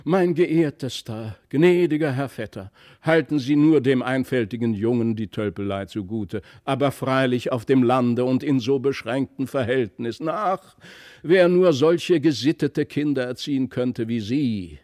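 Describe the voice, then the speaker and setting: Flustered, fawning country gentleman, acted by a narrator. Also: mid-range voice, a little slow, no room sound, clean studio sound, lightly acted. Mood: flustered pleading. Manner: effusive, pleading, exclamatory. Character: flustered, obsequious country gentleman, humble father.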